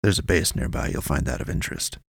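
A secretive male informant from a noir audio story voiced by a narrator. He talks low and gravelly, hushed and grave in old slang, close and dry. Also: expressive, quick pace, dry recording, full character voice.

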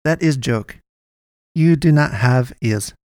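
A man voicing a small, brisk, jokey flying alien in a story, speaking clipped, stilted broken English. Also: medium pitch, darkish tone, clean tone, dry recording, clean studio sound, lightly acted. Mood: brisk, amused.

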